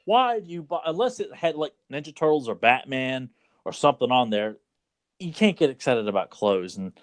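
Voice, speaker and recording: A man's voice: medium pitch, very animated, moderate pace. A man co-hosting a podcast in casual chat, laid-back and teasing, with plenty of filler words. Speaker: podcast co-host.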